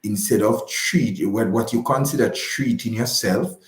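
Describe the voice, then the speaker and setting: A man's voice: on the low side, a little slow, echoey room. A man giving conversational advice as a radio guest, emphatic and repeating key phrases to reassure. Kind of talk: conversational advice on a live broadcast.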